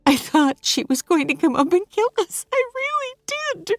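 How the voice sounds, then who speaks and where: A frightened young mother spills out breathless, pleading lines, as a woman narrator puts her on. Her voice climbs high and wavers with nerves. The recording is dry and close. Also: big pitch swings, unhurried, a little mellow, no echo. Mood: shaken. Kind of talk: audiobook character voice.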